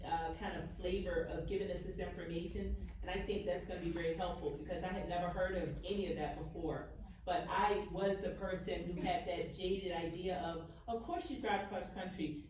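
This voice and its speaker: Lower-voiced woman from audience, very echoey. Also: a little mellow. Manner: conversational, self-correcting. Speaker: audience member. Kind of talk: casual personal anecdote from the audience. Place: audience of an indoor hall. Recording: heavily echoing hall, distant, faint noise.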